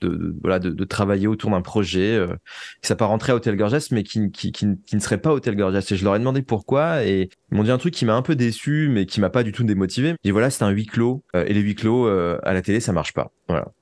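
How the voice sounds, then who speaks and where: A man's voice: on the low side, fast, a little mellow, dry recording, studio quality. A man speaking casually as an interview guest, often repeating words as he searches for phrasing. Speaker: interview guest, audio fiction writer.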